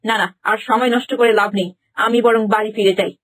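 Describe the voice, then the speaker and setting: A woman's voice: fairly high pitch, fairly even intonation, fast, slight background noise, lightly acted, poor audio. A woman voicing a cruel, scheming stepmother in a cartoon fairy tale, scolding and muttering to herself. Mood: uneasy, muttering.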